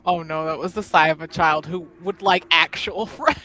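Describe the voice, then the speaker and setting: A woman's voice: fairly low pitch, fairly even intonation, normal speed, bright, forceful tone. A woman playing a wry, fond adult relative who teases a child in a tabletop game. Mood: teasing.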